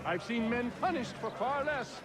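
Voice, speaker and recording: A man's voice: very high-pitched, expressive, moderate pace, noisy background. A man acting a worried, cautious colonial townsman in a video game. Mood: wary. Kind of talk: video game ambient dialogue.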